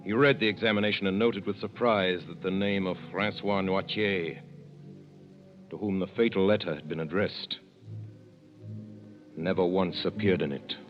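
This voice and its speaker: A man narrating in a measured, commanding way in 1930s radio drama style. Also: sits low, steady tone, soft background music. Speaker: narrator and lead actor. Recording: dull old radio recording.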